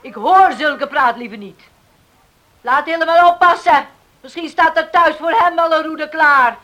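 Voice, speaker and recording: A woman's voice: sits high, measured pace, bright, forceful tone. An actress playing a strong-willed, outspoken farm widow and mother in a radio drama, teasing and defiant. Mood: mocking.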